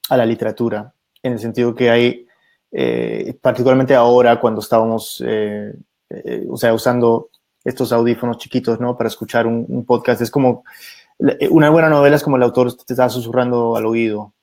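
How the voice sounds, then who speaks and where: A middle-aged man from Peru, raised abroad, speaking thoughtfully as a radio producer guest, with frequent pauses and restarts. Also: medium pitch, creaky, darkish tone. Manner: thoughtful, many fillers and restarts. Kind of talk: reflective conversation.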